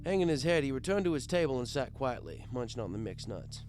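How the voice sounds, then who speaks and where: A man narrating a comic first-person story in a wry, expressive, conversational storyteller's way. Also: medium pitch, fast, faint music behind. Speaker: audiobook narrator.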